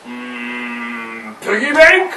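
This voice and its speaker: A man's voice: medium pitch, wildly swooping pitch, drawn-out words, pushed and bright, recorded from a distance, exaggerated voice. A man voicing a pushy pig shopkeeper with a chuckling salesman's patter, in an amateur home puppet show. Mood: salesy. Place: indoor room with a puppet stage. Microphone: far camera. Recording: roomy noisy home video from afar.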